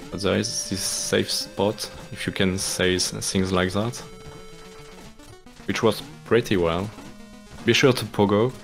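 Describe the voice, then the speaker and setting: A man's voice: fairly low pitch, steady tone, slow, gravelly, faint music behind. A man from France with a French accent, explaining a game speedrun in hesitant live commentary full of restarts. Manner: hesitant, many restarts and fillers, apologetic.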